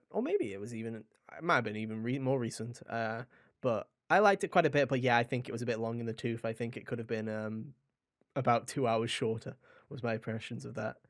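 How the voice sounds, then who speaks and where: Rambling, informal male podcast host. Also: mid-range voice. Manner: rambling, informal, addresses listeners directly. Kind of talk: casual video game podcast chat.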